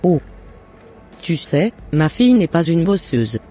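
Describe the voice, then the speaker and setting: A man voicing a jealous, scheming mother and neighbour in an animated moral drama, with deliberate scripted phrasing. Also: fairly high pitch. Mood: falsely friendly. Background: faint music.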